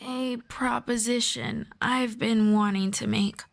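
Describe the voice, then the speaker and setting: Woman acting as long-lived elf friend, gentle, earnest, soft-spoken, lightly high pitch, very unhurried delivery, ASMR audio roleplay. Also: fairly even intonation, gravelly. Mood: calm, confiding. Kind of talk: slow scripted ASMR roleplay.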